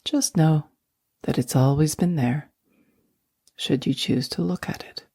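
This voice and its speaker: A woman guiding a sleep meditation in a soft, breathy near-whisper, speaking slowly and gently with long, soothing pauses. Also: deep voice, dry recording, studio quality. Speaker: meditation guide.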